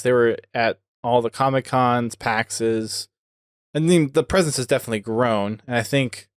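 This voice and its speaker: A man in casual podcast conversation, recorded close and dry with no room sound. He hosts in a conversational way, working out his thoughts aloud at a medium pitch. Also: smooth, dry recording. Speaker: podcast host.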